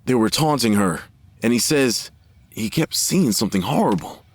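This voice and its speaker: A man acting as a worried father in an audio drama. He speaks gravely, with halting phrases. His middle-pitched voice rises and falls expressively. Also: animated, close to an everyday voice. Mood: disturbed.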